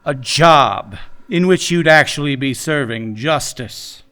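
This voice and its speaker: A man's voice: on the high side, lively intonation, slow, pushed and bright, full character voice. A man playing a smug, menacing crime boss in a noir radio drama, condescending and mock-polite.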